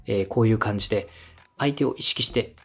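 A man's voice: on the low side, fairly quick, creaky, a bit bright, slightly rough. A man hosting a podcast, explaining and giving advice in a polite, instructive way. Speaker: podcast host and radio director. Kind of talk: explanatory coaching talk on a podcast.

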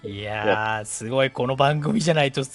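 A man's voice: medium pitch, fairly quick. A man co-hosting a live audio show in casual chat, reacting often with short interjections. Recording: background music under the voice.

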